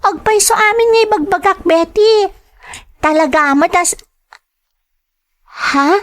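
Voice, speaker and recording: A woman plays a schoolgirl daughter in an audio drama, with a high, youthful voice. She speaks earnestly and politely, as a dutiful child. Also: measured pace, fairly bright tone. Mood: urgent. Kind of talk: Ilocano audio drama acting.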